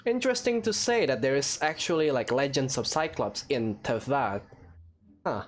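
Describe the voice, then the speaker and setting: A man's voice: mid-range voice, expressive, moderate pace. A man giving casual, rambling, jokey let's play commentary as a gaming host. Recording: music under the voice. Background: game music.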